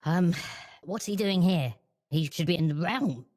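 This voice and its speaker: A wary magical mentor played by a woman in a tabletop roleplay, speaking in a fairly low register. The manner is brisk and scolding, always urging caution. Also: animated, a little mellow. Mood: suspicious. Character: wary adult woman teacher, secretly a magical king. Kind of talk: improvised tabletop roleplay in character.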